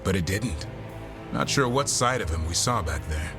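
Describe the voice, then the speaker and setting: An actor playing a gruff but soft-hearted hunter who cares for a griffin, terse and understated, in a video game. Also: male voice, deep voice, expressive, normal speed. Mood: reflective. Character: gruff male warden hunter caring for a griffin, softening. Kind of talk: naturalistic video game acting. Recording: game music behind the voice.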